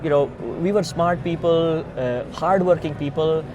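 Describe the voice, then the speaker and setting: Male, Indian accent, slightly high register. Earnest, emphatic interviewee explaining step by step over noticeable outdoor traffic noise. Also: steady tone, normal speed. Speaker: founder and CEO being interviewed. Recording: noisy outdoor ambience with traffic rumble.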